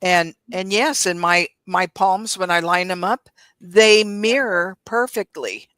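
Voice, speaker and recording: Older woman around seventy, slightly low voice, folksy, casual live stream chat, dry sound. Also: slow pace, a bit bright, no echo. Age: about 70.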